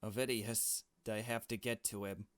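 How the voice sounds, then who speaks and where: Man playing frightened young captive, visual novel game, lowish pitch, earnest, halting, pleading, dry recording. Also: steady tone, dry recording, natural voice. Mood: hushed narration. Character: frightened kidnapped young adventurer, earnest, trapped in a cell. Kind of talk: dramatic visual novel read-aloud.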